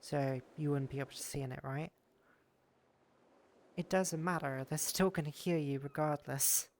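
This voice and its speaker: A man's voice: fairly high pitch, steady tone, normal speed. A man streaming a casual game session, rambling and thanking viewers, sounding stuffy from a cold. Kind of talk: casual streaming chat while exploring a game world.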